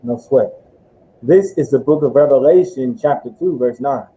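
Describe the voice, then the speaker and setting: A man's voice: mid-range voice, moderate pace, mellow. A man assisting a preacher as scripture reader, reading verses aloud and answering him. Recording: tinny phone-like line with roomy echo.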